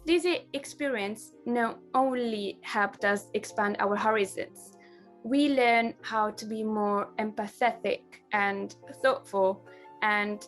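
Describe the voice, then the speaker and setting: A woman from Morocco, raised in Italy, presenting a student collective with a clear, welcoming delivery. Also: mid-range voice, fairly even intonation, takes it slowly, faint music behind. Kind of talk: scripted introduction.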